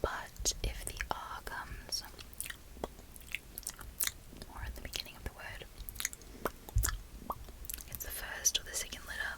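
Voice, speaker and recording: An American woman speaking in a soft, breathy ASMR whisper, lingering over and repeating her words. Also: poor audio. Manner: slow, lingering repetition of words. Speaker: ASMR video creator.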